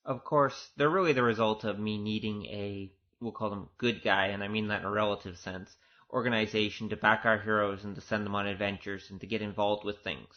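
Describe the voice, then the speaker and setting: A man's voice: fairly low pitch, fairly even intonation, fairly quick, few pauses. A man speaking as an audio drama producer, giving an informal, earnest and conversational director's commentary. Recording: dull, lo-fi recording.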